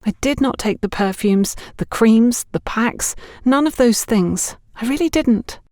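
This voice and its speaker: A woman's voice: on the high side, animated, unhurried, a little mellow, no room sound, studio quality, voice pushed up. A woman voicing a frightened young shop girl who pleads nervously and urgently in an audiobook story. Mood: urgent, pleading.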